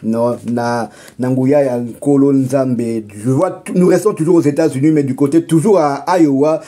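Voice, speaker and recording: A man's voice: medium pitch, steady tone, moderate pace, mellow. A man hosting a casual football talk show, speaking with many hesitations, fillers and restarts.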